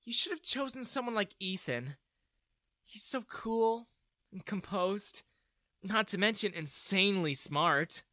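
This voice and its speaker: A man puts on an outspoken young woman for a narrated story. The voice is high and bright, with scornful, animated delivery. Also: measured pace, no echo, clean studio sound, exaggerated voice. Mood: exasperated, admiring. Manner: exasperated, gushing.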